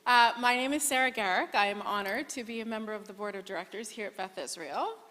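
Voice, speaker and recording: A woman's voice: fairly high pitch, animated, a little fast, a bit bright. A woman, a board member, giving a polite, slightly hesitant welcome and announcements in a synagogue sanctuary. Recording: long reverb in a hall.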